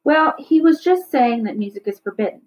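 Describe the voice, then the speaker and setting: A woman's voice: a little high, lively intonation, moderate pace, a little mellow, voice pushed up, lightly acted. Woman reading as a mild, worn-out storybook father. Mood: apologetic. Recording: slightly dull, lo-fi recording.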